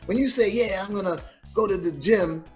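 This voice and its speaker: A man hosting a podcast interview, talking in an animated, coaching, encouraging way. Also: high-pitched, normal speed, faint music behind. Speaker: podcast host. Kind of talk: casual interview chat.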